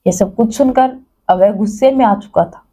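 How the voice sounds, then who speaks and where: A woman's voice: mid-range voice, moderate pace, dark tone. A woman narrating a scripted dramatic story as a storyteller.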